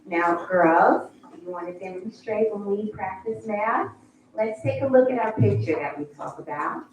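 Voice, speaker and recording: Echoey, distant low-voiced woman teacher. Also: moderate pace. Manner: patient, coaxing questions to young students. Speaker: kindergarten teacher. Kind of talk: classroom demonstration. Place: school board meeting room. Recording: echoey room, distant mic, background noise.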